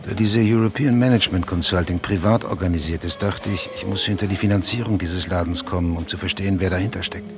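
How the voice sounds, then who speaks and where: An actor playing a persistent, probing investigative journalist in a naturalistic radio drama, speaking in an insistent, conversational way. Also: male voice, low voice, fast, few pauses, over music, natural voice. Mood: explanatory, intent.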